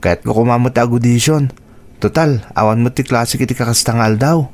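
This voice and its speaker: A man's voice: medium pitch, fairly quick, close to an everyday voice. A man playing a respectful, earnest young son with musical ambitions in a radio drama. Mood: earnest, hopeful. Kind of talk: Ilocano radio drama acting.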